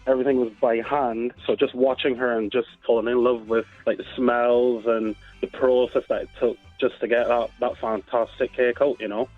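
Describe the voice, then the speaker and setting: A man's voice: medium pitch, flat delivery, moderate pace, few pauses. A man with a Barbadian accent, chatting casually as an interview guest. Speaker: interview guest, dessert business owner. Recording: tinny phone-like line, faint music.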